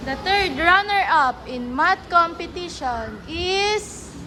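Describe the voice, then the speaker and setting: Female announcer, Filipino accent, ceremonial, very high pitch, sharp bright tone, faint noise. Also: animated, slow. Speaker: contest announcer in a school play.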